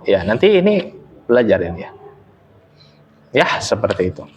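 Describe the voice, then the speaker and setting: A young man teaching an Arabic lesson, chatty and relaxed, laughing often and reading phrases slowly for students to repeat. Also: on the low side, slight background noise. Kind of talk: casual online Arabic lesson, reading aloud for repetition. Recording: long reverberant echo.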